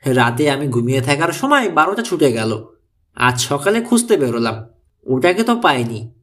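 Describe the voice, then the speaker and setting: A man's voice: medium pitch, very animated, moderate pace, fairly bright tone, pitched below the performer's own voice. A man voicing a worried, wary mother, questioning anxiously in an audiobook story. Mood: worried, explaining. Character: worried, wary American mother posing as Mexican. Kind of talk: audiobook character dialogue.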